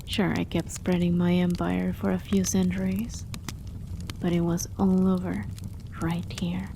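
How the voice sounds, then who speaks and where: Woman performing immortal demigoddess warrior, jaded sardonic captor, gravelly fry, slow delivery, soft near-whisper, ASMR roleplay. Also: lowish voice, level tone, a little hiss or hum. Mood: sardonic, grudging. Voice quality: soft, breathy, often dropping to a near whisper. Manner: sardonic, conversational, world-weary storytelling. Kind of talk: ASMR roleplay, dramatic monologue.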